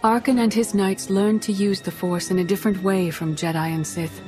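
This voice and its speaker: An actress playing a calm, wise mystic mentor in a video game, speaking in a measured, earnest way. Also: female voice, mid-range voice, close to an everyday voice. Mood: solemn, guiding. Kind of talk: dramatic video game acting. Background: background music.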